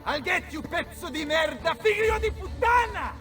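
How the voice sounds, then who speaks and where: An actor playing a blustering, hot-tempered Italian mercenary general in a video game, with an Italian accent and a bombastic, theatrical manner. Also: male voice, very high-pitched, lively intonation, normal speed, far from the mic, over music. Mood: furious, defiant. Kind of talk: dramatic video game acting.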